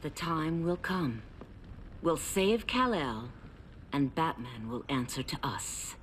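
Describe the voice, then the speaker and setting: Low-voiced stern warrior woman. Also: expressive, slow pace, noisy background. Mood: resolute. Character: stern, protective warrior woman mentor, resolute. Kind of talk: video game cutscene acting.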